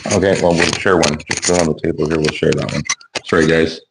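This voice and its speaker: Hoarse, very deep-voiced man. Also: fairly even intonation, a little fast, noisy background. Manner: easygoing, rambling with restarts. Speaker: YouTuber and car builder. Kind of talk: casual vlog chat. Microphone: wireless clip-on mic.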